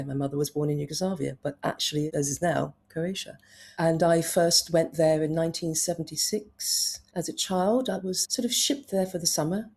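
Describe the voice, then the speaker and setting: Woman, fifties, low pitch, husky tone, enthusiastic storyteller. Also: darkish tone. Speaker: travel writer guest. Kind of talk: conversational interview.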